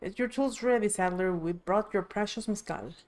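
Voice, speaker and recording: A woman's voice: mid-range voice, normal speed, a little mellow. A woman reading video game dialogue aloud expressively on a live stream.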